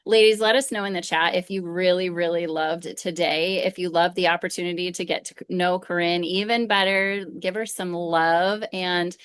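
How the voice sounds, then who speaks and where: A woman co-hosting a live roundtable, measured and gentle with frequent hesitant fillers. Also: fairly low pitch, steady tone, clear, resonant tone, dry recording. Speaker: co-host.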